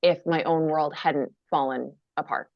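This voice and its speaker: A low-pitched woman from Minnesota speaks frankly and wryly, undercutting heavy moments with dry self-deprecating jokes. Her voice sits dead and close, with no room around it, and comes through a dull, lo-fi video call line. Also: steady tone, unhurried, no echo. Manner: candid, wry, self-deprecating humor. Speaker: guest author. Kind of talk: conversational interview answer.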